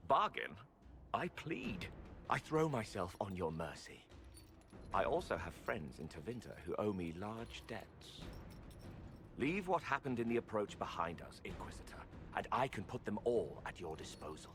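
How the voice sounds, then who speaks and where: A man's voice: fairly low pitch, sing-song, moderate pace, background noise. An actor voicing a slippery male smuggler mage who pleads in an ingratiating, theatrical way. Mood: wheedling.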